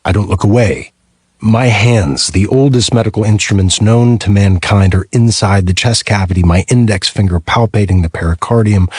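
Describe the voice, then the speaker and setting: Very deep, husky male narrator. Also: darkish tone, no echo, clean studio sound. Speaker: audiobook narrator. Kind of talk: scripted first-person thriller narration.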